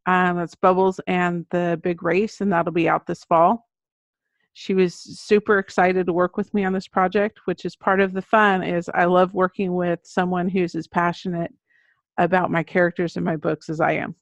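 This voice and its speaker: A woman's voice: fairly low pitch, level tone, moderate pace, no room sound. An American woman speaking as an author guest in a podcast interview, explaining things in an earnest, conversational way. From United States. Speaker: guest, children's book author. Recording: muffled, dull lo-fi audio.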